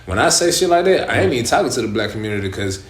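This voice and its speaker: A man's voice: medium pitch, big pitch swings, very fast. A young man with an Atlanta Southern accent, talking casually as a rapper guest in an interview, streetwise and profane in his storytelling. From east side of Atlanta, Georgia. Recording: echoey room.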